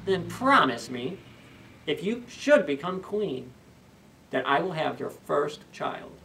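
A scheming little imp man from a storybook, played by a male reader, pitched high and slow. He draws out every bargain slyly. The mic is slightly distant. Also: lively intonation, creaky, bright, forceful tone, slight background noise, exaggerated voice. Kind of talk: storybook character voice. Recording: slightly distant camera microphone.

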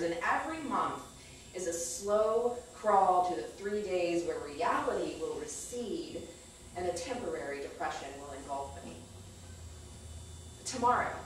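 A woman in her thirties performing an expressive, poetic personal monologue on stage with deliberate delivery. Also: mid-range voice. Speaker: spoken-word storyteller on stage. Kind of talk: rehearsed dramatic personal monologue read to an audience. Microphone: far camera microphone. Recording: echoey theater, distant mic, faint noise.

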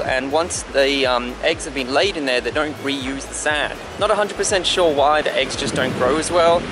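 A young man in his twenties, casually explaining his day's work while walking outdoors and filming himself with a handheld camera. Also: a little high. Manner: chatty, explaining as he walks. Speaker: travel vlogger. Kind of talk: casual vlog narration. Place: outdoors at a beach turtle hatchery. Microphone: handheld camera at arm's length.

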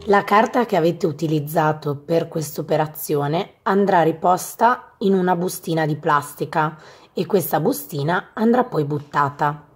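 A woman with a slightly low, husky voice narrates scripted instructions for young athletes. She explains things step by step, in an instructional manner, with music playing behind her. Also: measured pace. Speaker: gym staff narrator. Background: background music.

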